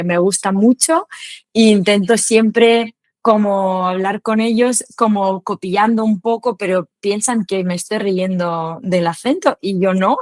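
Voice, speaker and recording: A young adult woman speaks a language she learned later in life, chatting loosely as an interview guest. She pauses often with filler sounds. Her voice sits mid-range, recorded close and dry. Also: mid-range voice, no echo. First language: not Spanish. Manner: chatty, hesitant, many fillers. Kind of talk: casual conversational interview.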